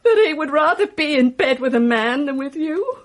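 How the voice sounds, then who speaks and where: An actress playing a wounded, fragile film star under suspicion in a radio mystery, halting and dramatic. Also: female voice, sits high, lively intonation. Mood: anguished. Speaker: actor.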